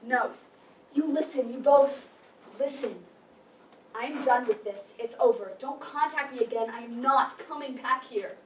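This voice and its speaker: An actress playing a hurt, bitter, fed-up sister in a staged play reading. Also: female voice, high-pitched, a little slow. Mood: angry. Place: meeting room staged reading, distant camera. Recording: echoey room from far off, noisy.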